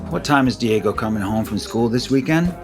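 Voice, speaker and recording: Actor as businessman dad, breezy probing soap dialogue, middle pitch, music underneath. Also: lively intonation, a little fast, natural voice. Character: probing businessman father of a grown son. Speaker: actor in audio soap opera. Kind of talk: naturalistic soap opera dialogue. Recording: studio drama with music underneath.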